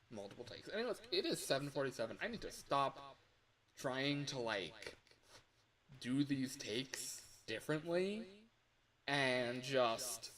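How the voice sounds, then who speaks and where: Casual, halting man rambling on stream. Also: mid-range voice, a bit bright. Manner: halting, self-correcting, sweary. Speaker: livestreamer recording voice lines. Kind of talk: casual rambling livestream chat.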